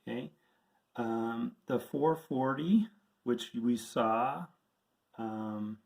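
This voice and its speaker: A man's voice: medium pitch, a little mellow, rough recording. Guy walking you through gear step by step, informal and plain, instructor type. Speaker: radio instructor. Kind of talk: informal hands-on tutorial.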